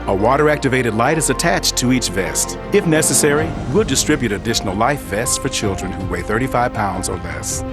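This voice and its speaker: A man's voice: medium pitch, animated, a little fast, hardly any pauses. A man reading a scripted narration for an airline video. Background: music.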